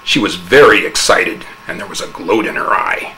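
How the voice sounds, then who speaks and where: A man narrating a humorous fable as a dramatic oral interpretation, with theatrical, measured storytelling. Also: low voice, sharp tone, slight background noise, poor audio.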